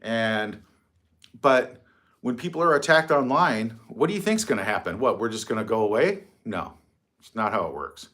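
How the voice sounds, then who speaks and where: A man's voice: medium pitch, very animated, moderate pace, a bit bright. A middle-aged man hosting a casual livestream from his phone, rambling, mocking and swearing freely. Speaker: livestream host.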